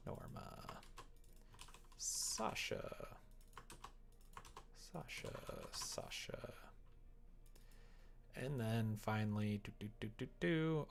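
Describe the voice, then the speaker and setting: Slow, creaky man muttering while he works. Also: lowish voice, a little hiss or hum. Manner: wry, muttering to self while working. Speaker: live streamer developing a visual novel. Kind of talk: casual coding livestream chat, thinking aloud.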